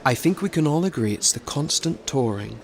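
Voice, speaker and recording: An actor playing an earnest, insistent theatre business manager in an audio drama. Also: male voice, a little high, animated, brisk, gravelly, slight background noise. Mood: heated. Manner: insistent, persuasive.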